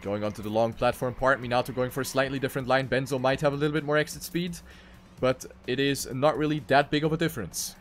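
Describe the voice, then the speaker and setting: A man's voice: medium pitch, fairly even intonation, fast, a bit bright, soft background music. A man commentating live on a racing game, calling the action play by play and repeating phrases for emphasis. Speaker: esports race commentator.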